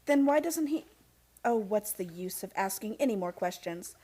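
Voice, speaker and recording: An actress playing a frustrated young heiress in an old-time radio play, earnest and full of questions. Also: female voice, medium pitch, lively intonation, moderate pace, dark tone, breathy edge. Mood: confused, exasperated. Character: frustrated, insistent young heiress seeking family secrets.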